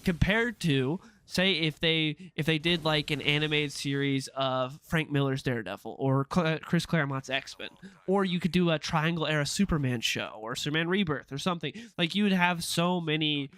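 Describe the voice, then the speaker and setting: A man's voice: a little high, normal speed, fairly bright tone, clear, resonant tone. A man with a stuffed-up, nasal voice from a cold, giving casual, opinionated commentary with frequent "uh" fillers. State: sick with a stuffed-up nose. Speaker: comic book commentary YouTuber.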